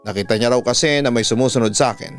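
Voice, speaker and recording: Smooth-voiced man telling horror stories. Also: mid-range voice, fast talker. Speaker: radio announcer reading listeners' horror stories. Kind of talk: dramatic scripted horror story narration. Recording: music bed under the voice. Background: background music.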